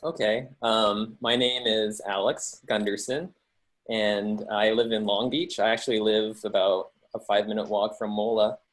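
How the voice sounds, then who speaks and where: A man who works as an animator and musician, answering panel questions over a video call in a halting, hesitant way. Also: on the low side, level tone. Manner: halting, hesitant, self-correcting.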